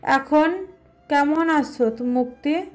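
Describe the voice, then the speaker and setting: A woman's voice: sits high, slow pace, a little mellow. A woman playing a kind, bashful young doctor in a Bengali romantic audio story. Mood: friendly. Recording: music under the voice.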